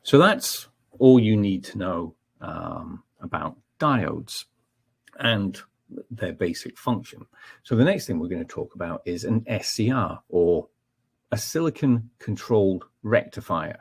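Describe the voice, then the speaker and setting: A Scottish man walks through a technical webinar in an unhurried, explanatory way, pausing on ums and checking his listeners follow. His voice sits low with a gravelly, slightly husky grain. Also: expressive. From Scotland. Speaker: technical trainer.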